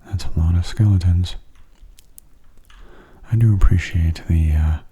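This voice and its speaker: A man giving soft-spoken ASMR commentary over a game in a hushed, breathy murmur, calm and unhurried, close to the microphone. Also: deep voice, a little hiss or hum. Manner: calm, unhurried, musing aloud. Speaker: gaming ASMR host.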